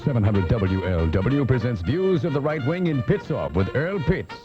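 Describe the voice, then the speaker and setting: A man's voice: medium pitch, big pitch swings, normal speed, over music. A man announcing a radio segment in a dramatic show-intro style. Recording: muffled off-air cassette radio recording.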